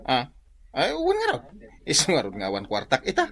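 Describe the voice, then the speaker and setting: A man's voice: a little high, wildly swooping pitch, brisk, creaky, fairly bright tone, heavily acted. A man with an Ilocano accent playing a broke, shameless young show-off who wheedles for money in a comic radio drama. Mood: wheedling.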